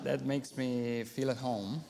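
A man with an Italian accent, a non-native English speaker, giving a conference lecture, pausing often with "uh" and "um". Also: mid-range voice, fairly even intonation, vocal fry, mellow, some chatter behind. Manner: frequent hesitations and fillers. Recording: long reverb of a hall.

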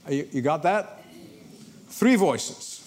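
A man from Egypt, preaching a sermon to a congregation in lively storytelling, often repeating words as he starts a sentence. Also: fairly high pitch, slow. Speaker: pastor. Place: church before a congregation.

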